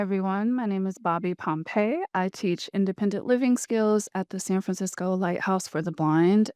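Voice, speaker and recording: A woman's voice: lowish voice, a little mellow, clear, resonant tone, no room sound, studio quality. A woman teacher introducing herself plainly and directly on a panel. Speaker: independent living skills teacher, panelist.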